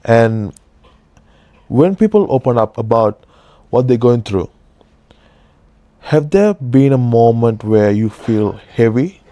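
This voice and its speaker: A man from Sri Lanka hosting an interview, asking questions in halting phrases and often affirming the guest. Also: mid-range voice, lively intonation, dark tone, no echo. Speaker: podcast host. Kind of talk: interview conversation.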